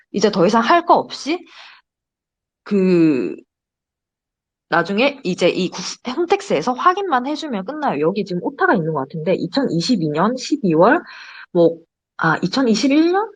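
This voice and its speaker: Lively young woman presenting. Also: medium pitch, normal speed, slightly rough. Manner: chatty, giggles between points. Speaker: presenter at a study group. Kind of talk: casual slide presentation.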